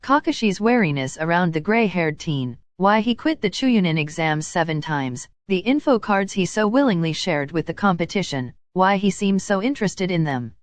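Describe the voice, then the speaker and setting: A woman with a slightly low voice reads scripted fiction as a narrator. The recording is dry and close, with very little room sound. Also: animated, moderate pace, hardly any pauses, no echo. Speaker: audiobook reader of fan fiction.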